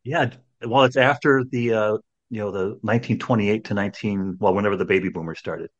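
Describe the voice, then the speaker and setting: Low husky older man, rambling podcast host. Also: fairly even intonation, fairly quick, highs cut off. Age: 60s. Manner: rambling, many restarts and "you know"s. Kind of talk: casual podcast conversation.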